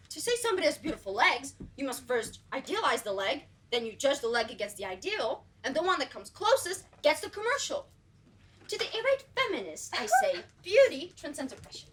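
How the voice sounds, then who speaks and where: A self-assured young auditioner who speechifies, played by a teenage girl on stage. Her voice is bright, high and swoops widely, with faint noise behind. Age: teens. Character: vain opinionated young woman auditioning, lecturing. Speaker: student actor. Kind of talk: school stage play acting.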